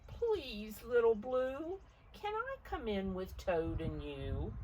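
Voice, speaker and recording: Woman doing a high, pleading storybook goat. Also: big pitch swings, slow pace, darkish tone, distant mic, exaggerated voice. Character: polite bleating farm goat seeking shelter.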